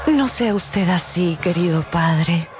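An actress playing a gentle, coaxing, devoted lady in a radio drama. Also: on the low side, animated, slow pace, music in the background.

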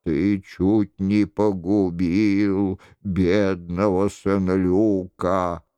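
Мужчина-чтец озвучивает суровый, торжественный, глухой бестелесный голос, который требует покаяния. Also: male voice, deep voice, flat delivery, mellow, smooth, dry recording, pitched below the performer's own voice. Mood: wrathful, grave. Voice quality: hollow, muffled. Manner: slow, grave pronouncements. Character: stern, solemn, wrathful disembodied male voice demanding repentance.

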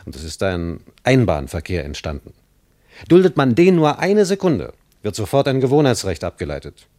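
Dozierender Diplomat mit tiefer Stimme. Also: male voice, lowish voice, wildly swooping pitch, moderate pace, dark tone, dry recording, studio quality. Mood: emphatic, wary. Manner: lecturing, chummy, punctuated with small tag questions. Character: genial, self-assured, wary West German diplomat in Moscow. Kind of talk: German radio play dialogue.

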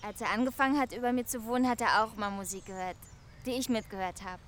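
A wistful woman, played by an actress confides in a radio drama monologue. Her voice sits a little high, and there is some noise behind it. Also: fast talker, lightly acted.

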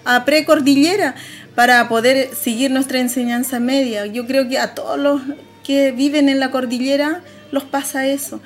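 High-pitched woman, halting and reflective. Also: steady tone. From Andean highlands of northern Chile. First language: Aymara. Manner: halting, reflective, searching for words. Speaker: interviewee. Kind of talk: personal testimony on a radio program.

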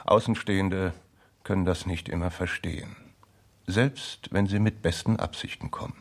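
An actor in a 1960s German radio drama playing a gruff old colonel, speaking in a curt, formal, commanding manner. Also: male voice, low voice, steady tone, normal speed. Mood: stern, formal. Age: older.

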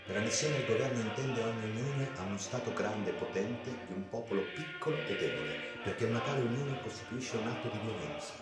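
A man voicing a revolutionary leader in a radio play, declamatory and deliberate. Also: on the low side, expressive, lightly acted. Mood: solemn, proclaiming. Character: impassioned male revolutionary leader making political declarations. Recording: reverberant voice over music.

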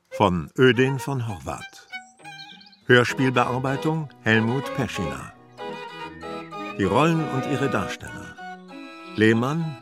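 A man reading scripted radio drama credits as an announcer, in a measured, formal manner. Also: mid-range voice. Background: string music, violin.

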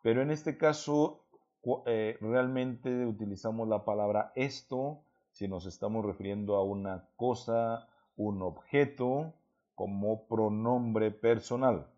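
A man's voice: lowish voice, slow, dark tone. A man with a Mexican accent teaching a language lesson, going over a student's writing with patient explanations and frequent hesitations. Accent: Mexican Spanish. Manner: patient, explanatory, with many fillers and restarts. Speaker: Spanish teacher. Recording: dull lo-fi recording.

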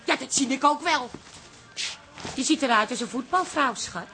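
An actress playing a sharp-tongued, teasing middle-aged woman in a Dutch radio play, sarcastic and full of exclamations. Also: high-pitched, animated, normal speed, faint music behind, exaggerated voice. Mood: indignant, sarcastic.

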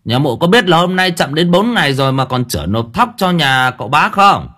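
A man's voice: fairly high pitch, big pitch swings, normal speed, sharp tone, pitched above the performer's own voice, exaggerated voice. Man playing a glaring servant bully. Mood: threatening. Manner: threatening scolding.

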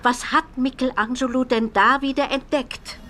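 An actress playing a plain housewife giving witness testimony in a radio drama. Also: female voice, high-pitched, steady tone, moderate pace, over loud music, natural voice. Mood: recollecting. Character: plain Italian housewife recalling finding a body.

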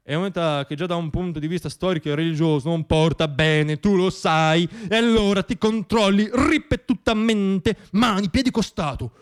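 A man's voice, a little higher than most, performs a comic radio theatre monologue. His delivery is emphatic and sardonic, turned on himself. He uses deliberate pauses and repeats words for effect. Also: smooth. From Italy. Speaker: monologist performing own piece.

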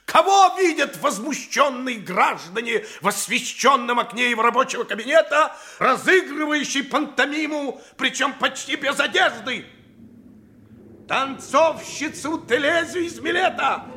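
A man's voice: very high-pitched, expressive, measured pace, sharp tone, full character voice. An actor playing a pompous, bombastic male orator, declaiming indignant accusations in theatrical audio drama style. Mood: insinuating, outraged. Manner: pompous, declamatory, rhetorical questions. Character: bombastic male orator haranguing city fathers with scandalous accusations.

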